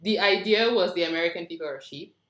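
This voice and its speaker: A woman with a notably low voice hosts a casual feedback discussion. She speaks as the writer leading the group, and the sound is roomy and echoey, as on a video call. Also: fast talker, a bit bright. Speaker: writer hosting a script table read feedback session.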